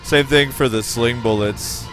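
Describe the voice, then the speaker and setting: An American man streaming a game, giving excitable, profane play-by-play and chatting with viewers. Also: medium pitch, a little slow, faint music behind. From United States. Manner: excitable, profane, talks to chat. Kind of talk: casual gameplay commentary.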